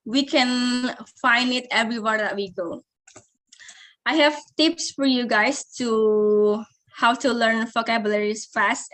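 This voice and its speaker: Indonesian woman teaching english, slow and kinda high, lots of ums and restarts. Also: fairly bright tone. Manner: hesitant, many fillers and restarts. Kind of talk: English lesson.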